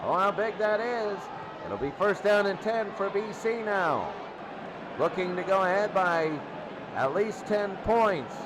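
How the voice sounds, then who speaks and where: A man calling football play-by-play for radio in an excitable manner, often repeating phrases. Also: sits high, measured pace. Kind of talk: radio sports commentary. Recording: loud noisy stadium crowd behind. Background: roaring crowd.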